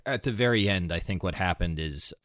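A man's voice: low voice, fairly quick, a bit bright, no echo, clean studio sound. An American man hosting a podcast, chatting informally and candidly. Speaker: podcast host. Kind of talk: casual chat.

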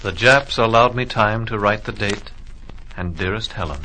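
An actor playing a young army private killed in war, plainspoken and reflective, in a 1940s radio drama. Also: male voice, on the low side, normal speed, heavy background noise, natural voice. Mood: wistful, reflective. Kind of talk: 1940s radio drama acting, verse monologue. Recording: vintage 1940s radio, music behind.

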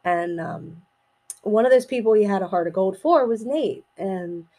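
A middle-aged woman with a slightly raspy voice hosts a personal podcast, speaking casually in a hesitant, reflective, halting way. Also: medium pitch, expressive, mellow. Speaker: podcast host.

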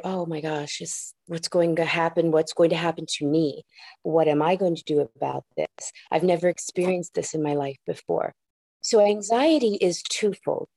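A woman clinical therapist being interviewed on a podcast, explaining in a reflective, measured way with occasional restarts. She grew up in the United States and spoke Japanese first. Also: fairly low pitch, warm tone, no echo.

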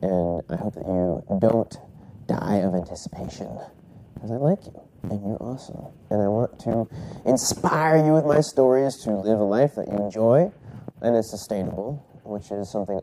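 A man's voice: on the low side, dark tone, slight background noise, low-quality recording. A man recovering from a cold, congested and coughing, rambling casually through his solo podcast with many fillers and self-corrections. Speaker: podcast host.

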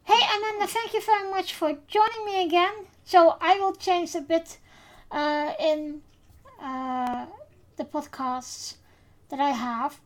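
A woman with a Dutch accent hosting a podcast in English, who speaks in a casual, unhurried way and pauses often with fillers. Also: very high voice, fairly even intonation. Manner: unhurried, hesitant with many fillers. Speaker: podcast host.

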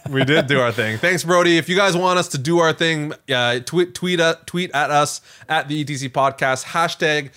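A man co-hosting a podcast, chatting casually in an emphatic, animated way. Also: on the high side, normal speed, hardly any pauses. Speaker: podcast co-host.

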